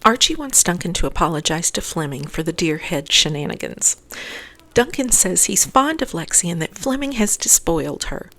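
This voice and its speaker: Female recap host, lowish pitch with heavy fry, scripted but chatty. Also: sing-song, moderate pace, a bit bright. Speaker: host of a TV episode recap podcast.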